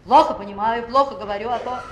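An actress playing a stern woman commissar in a 1960s film, calm, probing and commanding. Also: a little high, quick pace, slight background noise, lightly acted. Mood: firm, probing. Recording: roomy old film soundtrack with music.

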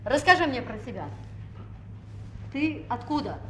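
A stern, composed commissar. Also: female voice, high-pitched, measured pace, slight background noise, full character voice. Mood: firm, probing. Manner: calm, probing and commanding. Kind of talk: 1960s film acting. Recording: roomy old film soundtrack with music.

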